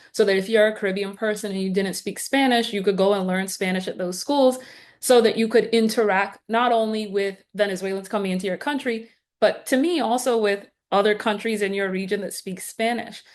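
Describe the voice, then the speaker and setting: A woman from Guyana speaks as a guest on a call, mid-pitched and measured. She explains her points in an earnest, steady way. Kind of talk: lecture-style answer on a video call.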